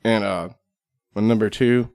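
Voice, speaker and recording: A man's voice: fairly low pitch, steady tone, a little slow, a little mellow, clean tone, dry recording. A man speaking as a podcast guest in casual, conversational chat.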